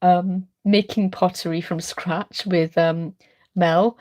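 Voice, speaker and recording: A middle-aged woman rambles through a late-night live stream, full of ums and friendly hellos to viewers. Her voice is low and mellow, thick and congested from a chest cold. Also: fairly even intonation, slow pace. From Wales. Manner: rambling, chatty, many ums, greets viewers. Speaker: art channel host.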